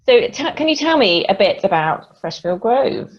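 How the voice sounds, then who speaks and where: A woman interviewing a guest, asking questions in a relaxed, friendly way. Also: medium pitch, moderate pace. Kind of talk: casual interview. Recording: dull lo-fi sound.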